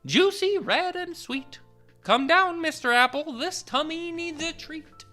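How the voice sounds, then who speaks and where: A man's voice: very high-pitched, animated, measured pace, bright, forceful tone, faint music behind, voice pushed up, heavily acted. A man voicing a dainty, greedy female deer from a storybook, coaxing for a treat.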